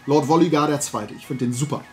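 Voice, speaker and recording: A man in his forties with a slightly high-pitched voice chats to his viewers like the host of a hobby show. He rambles in a casual, enthusiastic way. Faint music plays behind him. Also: fast talker. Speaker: host of a toy collecting video show. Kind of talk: casual chat, showing a toy haul to viewers. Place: small room full of toy shelves, indoors.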